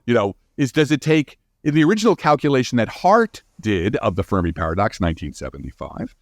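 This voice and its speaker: Animated man explaining, very lively pitch. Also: no echo, studio quality. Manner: animated, informal, halting restarts with frequent 'you know'. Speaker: guest scientist. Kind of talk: conversational interview explanation.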